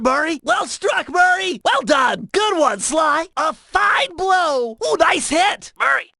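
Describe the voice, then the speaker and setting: A man voicing a nerdy, brainy young turtle strategist in an animated video game, with a thin nasal voice and precise, wordy, earnest delivery. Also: very high-pitched, gravelly, no echo, exaggerated voice. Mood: encouraging, pleased. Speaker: mission guide in a video game.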